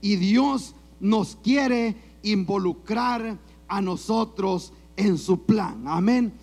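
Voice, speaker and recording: A male preacher with a Salvadoran accent delivers a sermon to his congregation. His voice sits high and he takes his time, stretching out phrases. He sprinkles his message with amens and glory to God. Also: extremely slow, a little mellow. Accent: Salvadoran Spanish. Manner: preaching with frequent amens and call-and-response. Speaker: pastor. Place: church.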